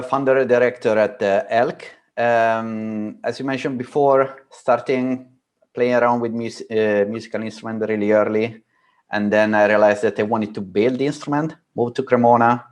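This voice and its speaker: A man introduces himself to a panel audience. He speaks haltingly, with hesitations and awkward phrasing. His pitch is mid-range. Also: darkish tone. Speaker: panelist, company founder. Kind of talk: casual self-introduction.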